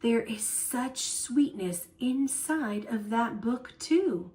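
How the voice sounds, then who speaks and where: A woman voicing a gentle old grandfather in a storybook, speaking quietly and thoughtfully. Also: fairly high pitch, lively intonation. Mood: thoughtful, tender. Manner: quiet, thoughtful, slow and tender. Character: gentle, wise old grandfather sharing lessons. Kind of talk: storybook character voice.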